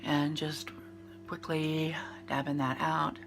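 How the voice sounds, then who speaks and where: A woman's voice: low-pitched, little pitch movement, takes it slowly, creaky, noisy background. A woman in her fifties with a nasal, congested voice, chatting through a makeup routine in a rambling, casual way. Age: 50s. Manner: chatty, rambling, with fillers and restarts. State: congested from a cold. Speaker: beauty vlogger. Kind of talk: casual makeup tutorial chat.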